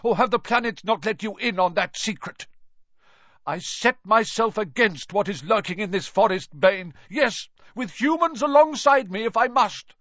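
A man reading aloud and voicing a noble young male centaur in a soft, low, solemn tone. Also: very animated, moderate pace, dry recording. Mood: fierce. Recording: dull, muffled recording.

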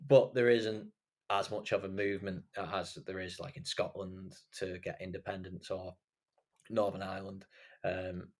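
A young man from England hosts a relaxed podcast conversation, his voice sitting on the low side. He rambles as he talks, filling gaps with ums and likes and restarting his sentences. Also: normal speed. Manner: rambling, many fillers and restarts. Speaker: podcast host. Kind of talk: casual chat.

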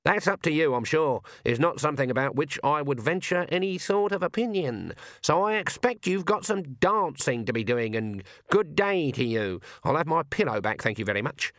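Brief: man playing a cranky older witch, raised pitch, curt and sarcastic, dry sound. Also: animated, moderate pace, few pauses, a bit bright, dry recording. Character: cranky older woman witch, sharp-tongued, proud.

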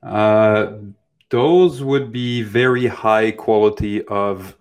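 A man giving casual, unscripted expert answers in a Q&A, thinking them through with hesitant pauses. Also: on the low side, smooth. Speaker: animation expert answering questions.

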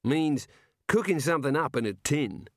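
A man's voice: fairly high pitch, lively intonation, moderate pace, no echo, studio quality, pitched above the performer's own voice, lightly acted. A man voicing a nosy, obliging amateur ballad writer in a comic audiobook, colloquial. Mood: eager, placating. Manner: colloquial, eager.

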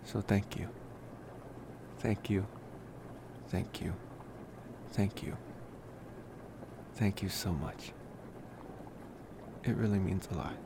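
A man playing a slow-talking, tender vampire boyfriend. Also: on the low side, vocal fry, background noise. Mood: tender, smitten. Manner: soft, hesitant, affectionate, with sighs and pauses. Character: tender, smitten young male college vampire boyfriend. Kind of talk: intimate ASMR roleplay.

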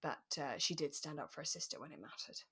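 A British woman co-hosting a relaxed chat podcast, telling stories with acted-out asides. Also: low voice, fast talker, breathy edge. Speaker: podcast co-host. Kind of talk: relaxed chat about a radio drama.

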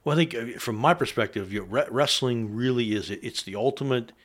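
An older man with a Pennsylvania American accent, chatting as a podcast guest in a measured way with pauses. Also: medium pitch. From south central Pennsylvania, United States. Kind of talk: casual conversation, reminiscing. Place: podcast studio.

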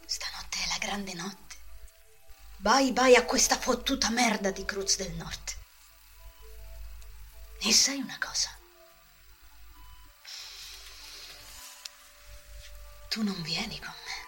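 A woman playing a cynical, scheming inmate of about forty, speaking in a low-key, conspiratorial and coarse way. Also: a little slow, pauses often, a bit bright, natural voice. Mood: bitter, hushed. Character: cynical, scheming forty-year-old female prison inmate. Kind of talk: Italian amateur fan dubbing of prison drama. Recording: homemade lo-fi desk microphone, music behind.

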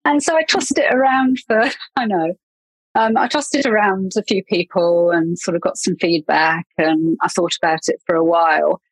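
Husky English woman, chatty guest. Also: fairly low pitch, moderate pace, no room sound, low-quality recording. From England. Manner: chatty, laughs often, trails off with fillers and restarts. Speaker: podcast guest, marketing consultant. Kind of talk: casual interview chat.

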